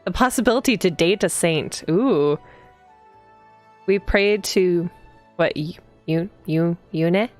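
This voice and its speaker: A woman's voice: lowish voice, slow pace. Chatty female game streamer over music. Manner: chatty asides, stumbles over unfamiliar words. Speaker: VTuber game streamer, host. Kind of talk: casual live let's play, reading visual novel text aloud with chatty reactions.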